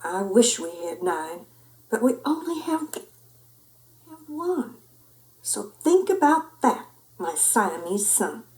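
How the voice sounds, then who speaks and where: A woman's voice: medium pitch, very animated, slow pace, pauses often, darkish tone. A woman voicing a frazzled, scolding but loving mother cat in a children's storybook, dramatic and exasperated. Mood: earnest worry.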